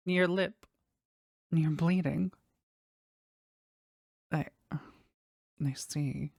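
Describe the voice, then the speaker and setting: Slow, husky, gravelly demon lover, voiced by an actor. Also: mid-range voice, a little mellow, dry recording, clean studio sound, heavily acted. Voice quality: husky, breathy, often dropping to a whisper. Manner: formal, slow, tender, halting with emotion. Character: powerful demon bound to a sorcerer, devoted, lovestruck, formal. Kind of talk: intimate romantic audio roleplay.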